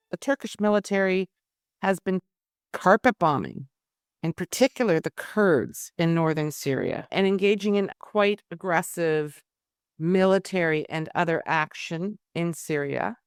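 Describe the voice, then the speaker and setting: Female podcast host, middle-aged, slightly low voice, deliberate and emphatic, close dry sound. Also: clear, resonant tone, no room sound. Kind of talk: scripted introduction and formal interview questions.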